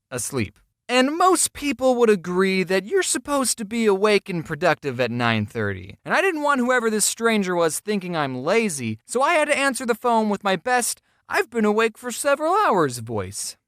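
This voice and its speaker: A woman's voice: lowish voice, a bit bright, no echo, clean studio sound. A woman telling scripted comedic stories in a self-deprecating way, acting out bits of dialogue as she narrates. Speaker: storyteller narrator.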